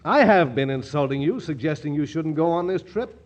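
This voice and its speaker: A brash, none-too-bright young fiancé acted by a man in a 1940s radio comedy. His fairly high voice bounces around with animated, blustery good humor. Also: a little fast, lo-fi sound, lightly acted. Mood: easygoing. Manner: blustery, chuckling at his own wit. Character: brash, dim, jovial young ex-football-player fiancé. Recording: vintage 1940s radio broadcast.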